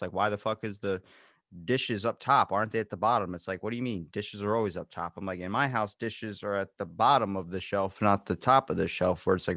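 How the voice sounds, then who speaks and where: A man's voice: lowish voice, fairly bright tone, no room sound, clean studio sound. A man hosting a casual podcast chat, loose and profane, telling stories with quoted back-and-forth. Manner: loose, swearing, tells stories with quoted dialogue. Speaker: podcast host.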